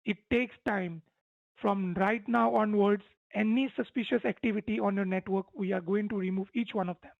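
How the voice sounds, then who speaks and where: A man's voice: high voice, fairly even intonation, normal speed, gravelly, dry recording. An impatient, insistent man arguing over a phone call as a support agent, repeating himself. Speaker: tech support phone scammer. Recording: dull phone line, faint music behind.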